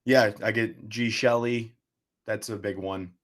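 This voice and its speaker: A man's voice: fairly low pitch, steady tone, unhurried. Eager young male student host. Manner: eager, hesitant with fillers. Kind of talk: casual interview conversation.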